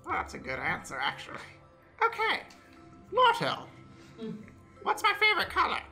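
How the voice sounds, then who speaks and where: A woman playing an awkward, mildly put-out troll in an improvised comedy radio play, talking casually and haltingly. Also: extremely high pitch, huge pitch swings, bright, forceful tone, heavily acted. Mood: quizzing, ceremonious. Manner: casual, halting, repeats words, odd swoops. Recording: music behind. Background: faint music.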